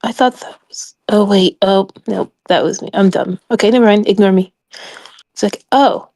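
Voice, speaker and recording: A woman's voice: medium pitch, steady tone, normal speed, warm tone, no echo. A woman playing a tabletop game, narrating and chatting casually in an informal, giggly way. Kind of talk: casual roleplay narration and chat.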